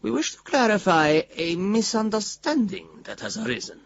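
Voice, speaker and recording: A man's voice: high-pitched, expressive, normal speed, vocal fry, lo-fi sound. An actor playing a self-justifying male bank president, giving a formal, emphatic statement in a radio drama. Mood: defensive, indignant. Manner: pompous, emphatic corporate delivery.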